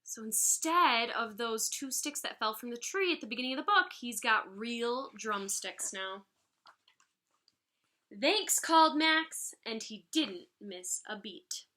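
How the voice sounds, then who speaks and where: A woman reads a children's picture book aloud in a fairly high, very bright voice. Her delivery is expressive and instructive, like a music teacher guiding young pupils through the story. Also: slow. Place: living room at home, indoors. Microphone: device mic about arm's length away.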